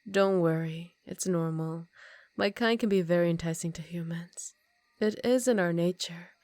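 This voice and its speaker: Low, teasing vampire countess acted by a woman. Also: darkish tone, dry recording, natural voice. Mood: seductive, coaxing. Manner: slow, teasing, condescending, with breathy half-whispers. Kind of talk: ASMR roleplay.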